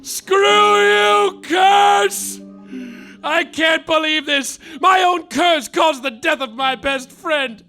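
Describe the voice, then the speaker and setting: A man doing a bumbling noir private eye narrating his own case in a clipped, deadpan voice, high, bright and gravelly. His pitch swings widely, and faint music plays under his lines. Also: takes it slowly, heavily acted. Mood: anguished. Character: hard-boiled hapless male private detective narrator. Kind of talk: live comedy radio play.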